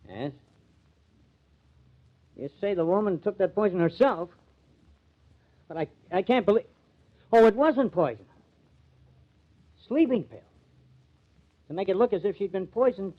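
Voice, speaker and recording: A man's voice: high-pitched, expressive, unhurried, long pauses, highs cut off. A man playing a shrewd investigator in a 1950s radio mystery, urgent, probing and emphatic. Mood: surprised, relieved. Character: shrewd, pressing male investigator and confidant. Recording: thin, band-limited old radio recording.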